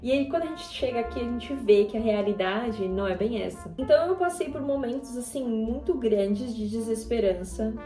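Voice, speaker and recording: A woman's voice: fairly high pitch, moderate pace, few pauses, mellow, soft background music. Chatty Brazilian woman in an echoey room. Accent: Brazilian Portuguese. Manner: chatty, rambling, frequent fillers and tag questions. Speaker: YouTuber. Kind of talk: casual chat while painting.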